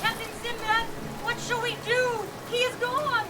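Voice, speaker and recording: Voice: very high-pitched, level tone, measured pace, noisy background. An actor playing a panicky, whining, fatalistic sailor in a comic radio drama, exclaiming shrilly. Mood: alarmed. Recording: loud music over the voice.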